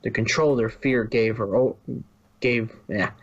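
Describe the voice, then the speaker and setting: A man's voice: mid-range voice, a little slow, highs cut off. A man reading scripted story narration, now and then stumbling and rereading a phrase.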